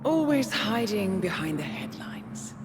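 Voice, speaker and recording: An actress playing a composed, ruthless female intelligence handler in a video game cutscene, measured and theatrical, with regret beneath the coldness. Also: medium pitch, very animated, background noise. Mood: cold, taunting. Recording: loud music under the voice.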